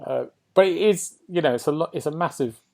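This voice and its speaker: A man's voice: fairly high pitch, brisk, vocal fry. A British man hosting a podcast, chatting casually about video games and often turning statements into questions. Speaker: podcast host.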